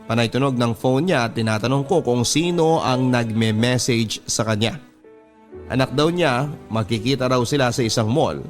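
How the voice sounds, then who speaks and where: A man's voice: medium pitch, moderate pace. A man with a Filipino accent reads a first-person letter as a radio drama host, in a sentimental, confessional storytelling manner. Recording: music bed behind the voice. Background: background music.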